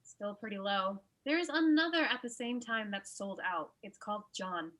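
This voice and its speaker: A woman's voice: mid-range voice, moderate pace, fairly bright tone, natural voice. A woman playing a busy, sensible lawyer, dry and matter-of-fact, in a comedy table read. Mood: wry.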